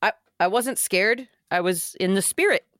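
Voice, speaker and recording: A woman's voice: medium pitch, normal speed, fairly bright tone, no echo, studio quality, close to an everyday voice. A woman reading the part of a jokey, insecure young man who covers his fear with wisecracks, in a podcast script table read. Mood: wisecracking. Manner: wisecracking, defensive.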